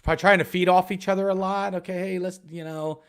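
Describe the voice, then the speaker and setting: A man from Ohio chatting as a guest on a casual live stream about metal detecting, excitable and full of restarts. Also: high voice, a little fast, clean tone. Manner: excitable, rambling, many restarts.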